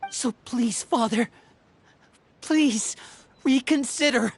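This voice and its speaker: Woman voicing an earnest, high-voiced teenage boy. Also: takes it slowly, husky, no room sound, heavily acted. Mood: earnest pleading. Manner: earnest, pleading. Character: earnest, devoted teenage boy pleading with leader. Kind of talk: video game voice acting.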